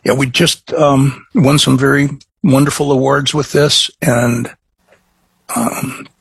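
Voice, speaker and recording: Man, Chicago accent, middle-aged, husky rasp, halting restarts, interview guest. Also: mid-range voice, little pitch movement, no room sound. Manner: halting, many restarts and fillers. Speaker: craft distiller guest. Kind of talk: casual interview chat.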